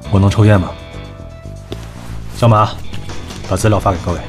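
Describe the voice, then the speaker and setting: Man playing a low-voiced, assured executive. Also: fairly even intonation, over music, lightly acted. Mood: cool, businesslike. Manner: measured, businesslike, persuasive. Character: cool, assured male talent-agency executive. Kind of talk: Chinese audio drama acting.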